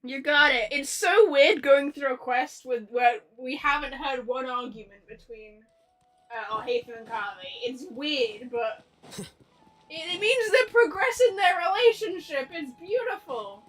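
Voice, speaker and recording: A woman's voice: sits high, measured pace, a bit bright, rough recording. A woman livestreaming a game with casual, playful, teasing commentary. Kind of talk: casual chatty gameplay commentary.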